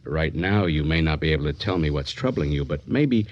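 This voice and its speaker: An actor playing a composed male psychiatrist who narrates in a measured, clinical manner for a radio drama. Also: very deep voice, lively intonation, lo-fi sound. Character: composed male psychiatrist recounting a strange case.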